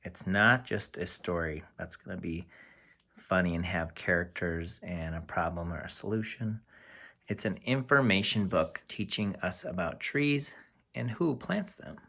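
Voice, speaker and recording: A teacher reading a picture book aloud to young children, explaining things simply with expressive asides. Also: low-pitched, measured pace, gravelly.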